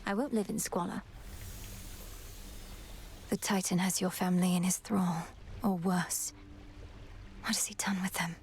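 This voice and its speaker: A woman's voice: on the low side, fairly even intonation, measured pace, pauses often, darkish tone, slight background noise. An actress playing a determined young goddess witch in a fantasy video game, speaking in a serious, precise, formal way. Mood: grim.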